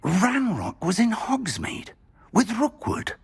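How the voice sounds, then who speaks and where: An actor playing an elderly wizard professor in a game, raspy, earnest and grave. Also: male voice, high-pitched, very animated, slow pace, full character voice. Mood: grave alarm. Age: older. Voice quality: raspy, aged.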